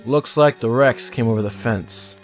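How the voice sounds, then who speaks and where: A man acts a practical game warden in an audio drama. He is terse and matter-of-fact at a middling pitch, with faint music underneath. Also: normal speed, natural voice. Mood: steady, urgent.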